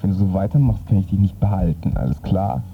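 A man's voice: low voice, expressive, fast, vocal fry. A man playing an exasperated, stern minder of an unruly companion in a comic book radio dramatization. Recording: dull phone-like lo-fi, music behind.

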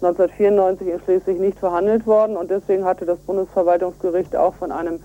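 Mellow-voiced woman explaining earnestly by phone. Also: fairly low pitch, little pitch movement, quick pace. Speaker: environmental activist and association chair being interviewed. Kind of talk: radio phone interview, explanatory. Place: on a telephone call to a radio show. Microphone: telephone. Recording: voice over a telephone line.

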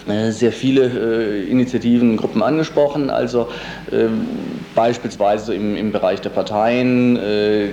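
A man being interviewed on the radio as a political organizer, speaking spontaneously and haltingly, often searching for words. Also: fairly low pitch, steady tone, warm tone, dull audio. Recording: roomy, echoey, faint background noise.

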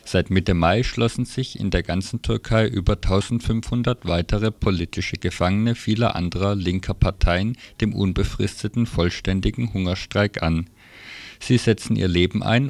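A man's voice: low-pitched, a little mellow, no room sound. A man presenting radio news, reading a scripted report.

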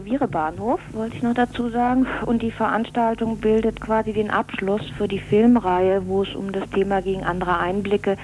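A woman's voice: medium pitch, a little mellow. A woman speaking spontaneously as an interviewed event organiser, earnest and opinionated, searching for words as she goes. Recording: faintly noisy 1990s radio recording.

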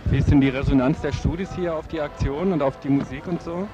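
A man's voice: on the high side, fast talker. A man asking interview questions as a radio reporter out in the field. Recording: dull lo-fi outdoor field recording.

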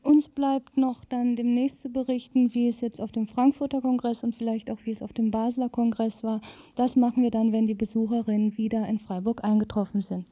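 A woman's voice: a little high, little pitch movement, fairly quick. A woman working as a radio interviewer, talking informally with her guest. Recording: thin, phone-like old radio recording.

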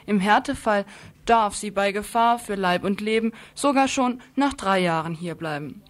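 A woman's voice: medium pitch, moderate pace. A woman presenting a scripted radio news report in an earnest manner, now and then stumbling over a word and starting again.

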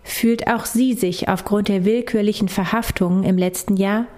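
A woman's voice: medium pitch, steady tone, normal speed, a little mellow. A woman reading scripted narration for a radio documentary. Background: music.

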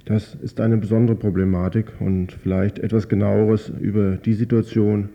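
A man's voice: fairly low pitch, little pitch movement, normal speed, creaky. A man acting as interviewer, putting questions and giving brief acknowledgements. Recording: dull lo-fi radio recording.

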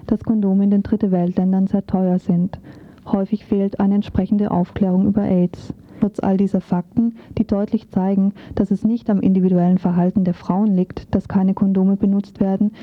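A woman's voice: medium pitch, dry recording. A woman reading a scripted radio feature as a presenter, on a muffled, narrow recording.

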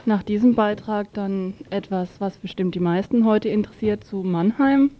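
A woman's voice: mid-range voice, moderate pace, dull audio. A woman presenting a radio news overview in an informal way, stumbling now and then over announcements.

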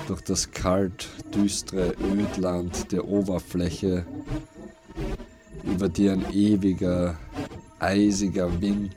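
A man reading scripted literary narration for a dystopian radio play in a measured, grave storytelling manner. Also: low voice. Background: prominent music behind the voice.

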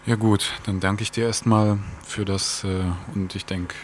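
A man's voice: on the low side, level tone, measured pace, a little hiss or hum. A man hosting a radio news magazine, introducing topics and interviewing guests.

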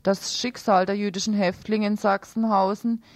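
A woman's voice: mid-range voice, flat delivery, moderate pace, no room sound. A woman co-presenting a radio news programme, reading out reports and announcements.

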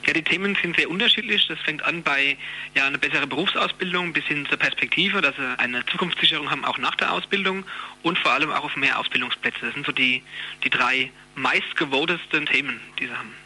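Male interviewee, colloquial explainer, very sharp forceful tone with a rough husky edge. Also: fairly high pitch, fairly quick, low-quality recording. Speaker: trade union youth secretary, interviewee. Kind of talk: spontaneous spoken interview.

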